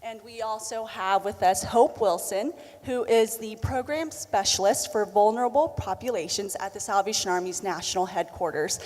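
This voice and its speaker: A woman's voice: mid-range voice, normal speed, few pauses, a little mellow. A woman moderating a conference panel, introducing each guest in a friendly way with a few fillers. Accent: American Southern. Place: conference hall. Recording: long reverb of a large hall.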